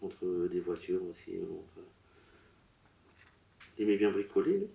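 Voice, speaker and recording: A man working as a medium at a public séance, relaying messages in short, broken, halting phrases. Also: sits low, vocal fry, mellow, muffled recording. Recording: roomy echo.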